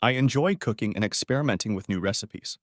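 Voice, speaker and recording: A man teaching beginner English, reading short model sentences clearly and saying each one twice. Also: fairly low pitch, lively intonation, no echo, clean studio sound. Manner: says each phrase twice, clearly and deliberately.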